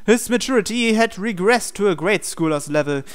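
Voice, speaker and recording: A man's voice: sits high, animated, moderate pace, clean tone. A man reading a visual novel aloud in a dramatic storytelling narration style.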